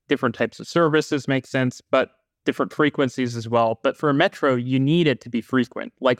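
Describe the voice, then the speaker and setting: A man's voice: medium pitch, no echo. A man who is a guest on a podcast, talking casually in a chatty, opinionated way.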